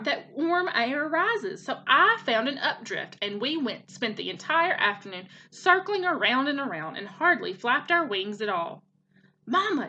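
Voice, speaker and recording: Woman voicing an eager, high-pitched young gosling boy. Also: bright, forceful tone, highs cut off, a little hiss or hum, exaggerated voice. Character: excited young male gosling boasting to his mother.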